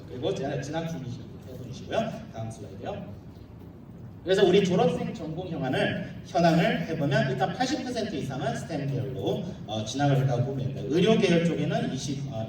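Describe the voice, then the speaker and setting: A man delivers a slide talk as a school representative, in a slightly high voice and at a rapid pace. He explains point by point and slips in informal, humorous asides. The sound is heavily reverberant, with clear noise around him. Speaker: school representative presenting at an admissions briefing. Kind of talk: formal slide presentation to a live audience. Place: large echoey room, live audience. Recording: roomy echoing hall, distant mic, clear noise.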